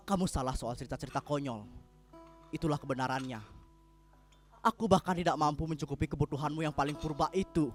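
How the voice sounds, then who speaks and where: A jealous, insecure husband, played by a man with a fairly high voice. He is volatile yet pleading, delivered in an emotional theatrical style over faint music. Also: natural voice. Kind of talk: dramatic reading for stage. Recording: music behind the voice.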